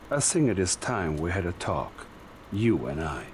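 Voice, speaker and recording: A scheming psychic advisor who talks in a deep, low hush. His menace stays quiet and controlled, and his words often fade into a breathy whisper. It sounds like a strategy game cutscene. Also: big pitch swings, unhurried, slight background noise. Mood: confiding. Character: sinister middle-aged male psychic advisor, scheming. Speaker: Soviet military advisor. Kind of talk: video game cutscene acting.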